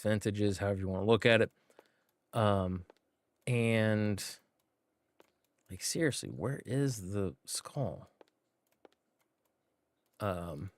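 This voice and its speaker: A man's voice: lowish voice, smooth, no echo, studio quality. A man giving laid-back, rambling commentary while livestreaming a game, with many fillers. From United States. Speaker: gaming streamer. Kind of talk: casual gameplay commentary.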